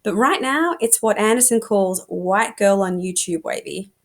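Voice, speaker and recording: A woman reading a young adult novel aloud like a teacher, in an informal, expressive storytelling manner. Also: mid-range voice, moderate pace.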